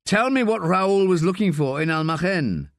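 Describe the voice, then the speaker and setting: A man voicing a persistent foreign investigator who presses with calm, probing questions. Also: a little high, normal speed, no room sound, clean studio sound. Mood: pressing.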